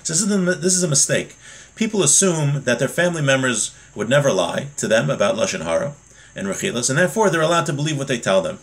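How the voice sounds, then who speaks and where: A man's voice: medium pitch, big pitch swings, fast talker. Man teaching a religious text. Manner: explanatory, reads phrases then translates and restates them plainly. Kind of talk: religious text lesson, reading Hebrew aloud and explaining it in English.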